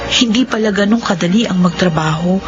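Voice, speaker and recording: A young Filipino actress as a dutiful eldest daughter, narrating earnestly in a radio drama. Her medium-pitched voice is slightly muffled over clear music. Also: fairly quick, lightly acted.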